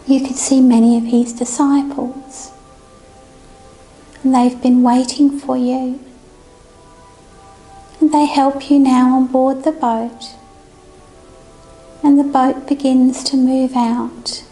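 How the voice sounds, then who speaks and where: A woman leading a guided meditation in a gentle, soothing, unhurried manner. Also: high voice, poor audio. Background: music.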